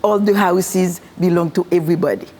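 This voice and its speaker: A woman's voice: fairly low pitch, brisk, warm tone. A woman from Haiti, a physician and farmer, answering interview questions earnestly and repeating phrases in lists. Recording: long hall reverb.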